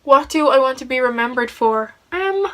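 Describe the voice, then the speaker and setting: A woman's voice: sits high, expressive, moderate pace, fairly bright tone. A teenage girl, chatting casually through a get-ready-with-me video and answering questions about herself. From Ireland. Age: teens. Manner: chatty, giggly, lots of "like" fillers. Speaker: beauty vlogger.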